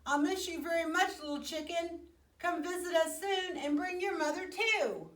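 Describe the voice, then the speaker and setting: A woman voicing an eager, excitable young female frog in a children's storybook, with a wide-eyed, exclaiming manner. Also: extremely high pitch, normal speed, pitched above the performer's own voice. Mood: fond. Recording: roomy, echoey, distant microphone.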